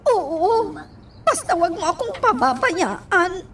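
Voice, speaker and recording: A woman's voice: very high voice, sing-song, takes it slowly, fairly bright tone, recorded from a distance, exaggerated voice. A woman playing an anxious, reluctant female accomplice in a radio drama, pleading as she speaks.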